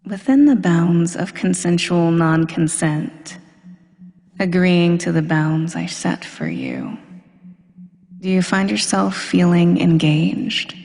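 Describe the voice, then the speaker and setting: A woman speaks very slowly in a creaky voice washed in long, hall-like reverb. She delivers an intimate hypnosis monologue with deliberate, suggestive control. Her phrases often sink into a breathy near-whisper as she teases and commands. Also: on the low side, sing-song, darkish tone. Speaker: hypnosis audio performer.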